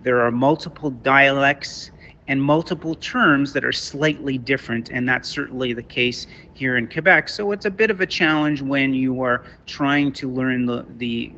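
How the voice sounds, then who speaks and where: A man whose first language is French, from Canada, giving a talk to parents as a teacher, halting with many restarts. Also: medium pitch, vocal fry, bright, forceful tone, dull audio, a little hiss or hum. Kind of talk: online lecture to parents. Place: Toronto.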